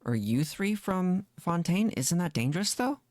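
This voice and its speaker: Casual male game streamer with lively pitch. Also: normal speed, no echo, studio quality. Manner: informal, muses aloud with questions. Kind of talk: casual live commentary, reading dialogue choices aloud.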